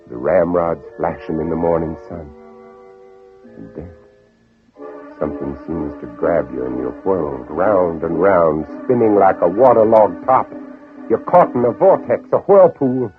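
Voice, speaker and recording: Low, brooding radio-drama Southern gentleman played by a man. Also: close to an everyday voice. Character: anguished Southern gentleman planter, narrating his own ordeal. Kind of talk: 1940s-50s radio drama acting. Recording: old radio, dull narrow band, music behind.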